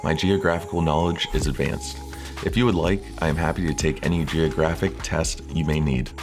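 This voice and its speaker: Male narrator, extremely low voice, scripted coaching-video read, measured and formal, clear music bed. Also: steady tone. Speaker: presenter of interview coaching videos.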